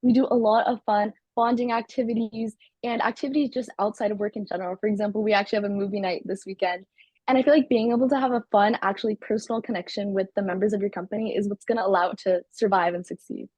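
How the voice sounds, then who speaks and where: Fast-talking teenage girl, husky and dry. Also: mid-range voice, fairly even intonation, darkish tone, dry recording. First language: Turkish. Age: teens. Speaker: student company CEO. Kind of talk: interview answers.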